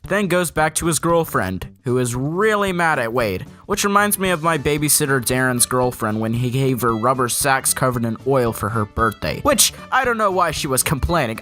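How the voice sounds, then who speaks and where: A young man reads a scripted comedy review in a mid-pitched voice, sarcastic and mostly deadpan. He keeps snapping up high on punchlines, and faint music plays underneath. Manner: sarcastic, deadpan jokes with sudden pitch jumps.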